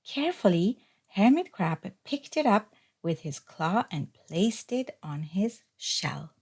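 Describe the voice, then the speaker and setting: A woman reading a children's story aloud as narrator, in a deliberate, expressive storytelling manner. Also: medium pitch, husky. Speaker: storybook reader.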